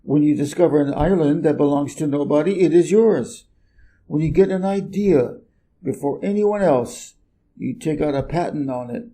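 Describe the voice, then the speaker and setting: A man's voice: a little high, normal speed, dark tone, close to an everyday voice. A man voicing a busy, self-important, irritable middle-aged businessman from a storybook, brusque and emphatic. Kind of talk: storybook character reading.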